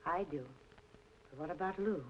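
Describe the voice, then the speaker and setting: A woman's voice: on the low side, moderate pace, close to an everyday voice. An actress playing a jaded, jealous woman boss, tough and biting, in old film noir style. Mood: bitter, sardonic. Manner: sardonic, biting. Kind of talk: film noir melodrama acting, mid-century. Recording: muffled old film soundtrack, faint noise.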